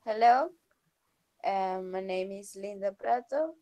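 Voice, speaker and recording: A woman's voice: medium pitch, takes it slowly, dark tone. A woman of twenty, a student, taking part in a video-call discussion and hedging her answers a lot. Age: 20s. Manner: hesitant, often unsure. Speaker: student participant. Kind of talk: casual group discussion.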